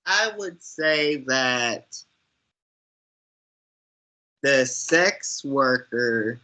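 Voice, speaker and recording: A man with a forceful, bright voice at a middle pitch talks through a film as a co-host. He takes his time, sounding earnest and opinionated, and leans on his points with emphasis. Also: poor audio. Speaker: podcast co-host discussing films. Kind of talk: conversational film commentary.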